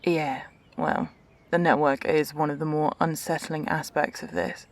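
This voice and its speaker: Low-voiced, dry, world-weary woman scientist, acted. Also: fairly even intonation. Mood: dry, composed. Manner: dry, measured, unhurried. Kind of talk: audio roleplay drama.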